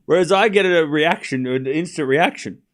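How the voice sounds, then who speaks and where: A man's voice: on the high side, normal speed, soft background music. A young Australian man streaming live tennis, calling the points and chatting casually with his viewers. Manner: relaxed and rambling, reads chat aloud and answers it, swears casually.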